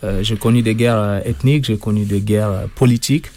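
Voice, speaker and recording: Soft-spoken man in his thirties, earnest. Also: on the low side, fairly even intonation. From Sudan. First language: Arabic. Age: 30s. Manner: slow, hesitant, earnest, many pauses. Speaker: author and guest. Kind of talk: radio interview.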